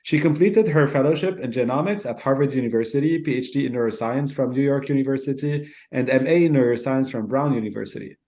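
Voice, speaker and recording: A man's voice: mid-range voice, quick pace, few pauses, mellow. A man hosting a podcast, reading a formal scripted introduction of his guest. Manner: formal, reads from a prepared script. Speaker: podcast host.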